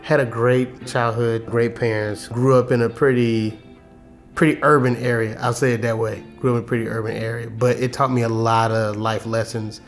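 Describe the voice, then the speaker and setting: Man, forties, relaxed storyteller, chuckles, mid pitch, faint music behind. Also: normal speed. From Houston, Texas. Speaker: interviewee. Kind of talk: personal faith testimony.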